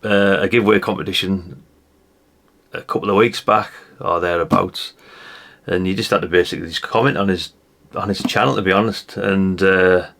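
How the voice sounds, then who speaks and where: Low, creaky-voiced British man. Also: fairly even intonation, moderate pace, fairly bright tone. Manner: informal, chatty, colloquial. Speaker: hobbyist radio channel host. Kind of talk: casual hands-on show-and-tell commentary.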